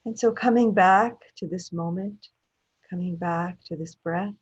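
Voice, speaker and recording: A woman meditation teacher leading a guided meditation in gentle short phrases with long pauses between them. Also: lowish voice, husky. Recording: dull, muffled lo-fi audio.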